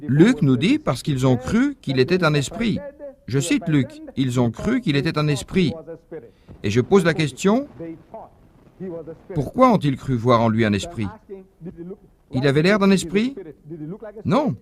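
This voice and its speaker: Lively, fairly high-voiced man lecturing. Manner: measured, didactic, rhetorical questions. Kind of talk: dubbed lecture, explaining a point.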